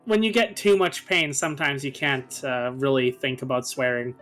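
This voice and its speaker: A man's voice: medium pitch, steady tone, normal speed, fairly bright tone, faint music behind. A man chatting casually and jokingly while playing and commenting on a video game with friends. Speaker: co-player and commentator on a game series. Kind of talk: casual joking chat over gameplay.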